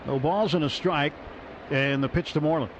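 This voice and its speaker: Man, radio baseball announcer, conversational, muffled sound, crowd noise. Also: moderate pace. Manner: conversational, chatty between pitches. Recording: slightly muffled radio broadcast with ballpark noise.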